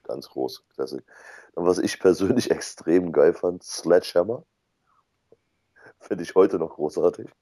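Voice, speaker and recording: A man with an extremely low, mellow voice and a German accent, recorded dry and close. He talks casually and nostalgically, like a co-host on a relaxed podcast. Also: a little slow, long pauses, no echo.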